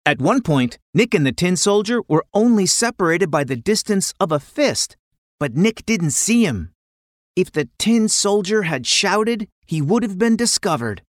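A woman narrating a scripted children's story in an expressive, dramatic way. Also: medium pitch, measured pace, dry recording, clean studio sound.

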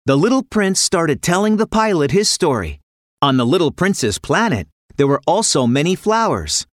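A woman reading a scripted children's fairy tale as an expressive storyteller. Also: low voice, normal speed, no room sound, clean studio sound. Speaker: narrator.

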